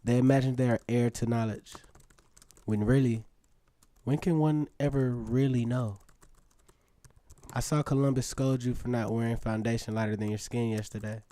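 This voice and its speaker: A man's voice: mid-range voice, warm tone, lightly acted. A man playing an earnest, lovestruck seventeen-year-old boy reading his own letters aloud in a radio drama, with a poetic manner.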